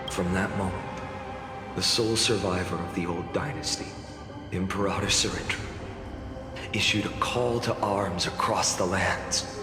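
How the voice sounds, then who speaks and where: Slow, grave swordsman in deep reverb. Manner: grave, deliberate, courteous. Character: solemn, mysterious young male wandering swordsman. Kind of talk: video game voice acting and narration. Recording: echoey, long reverb, music behind.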